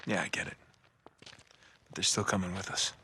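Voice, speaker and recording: An actor with an American accent playing a wisecracking, roguish adventurer in a casual, sarcastic way for a video game. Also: male voice, low-pitched, little pitch movement, normal speed, sharp tone. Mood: insistent. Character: wisecracking, roguish male treasure-hunting adventurer. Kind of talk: naturalistic video game cutscene acting. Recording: faint background noise.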